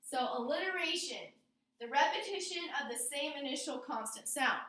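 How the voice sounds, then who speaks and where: A woman teacher with a very high voice explains things to a class and often checks in with questions. She is recorded in an echoey room, some way from the microphone. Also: expressive, normal speed, pushed and bright. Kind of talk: classroom lesson on figurative language. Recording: roomy echo, distant microphone.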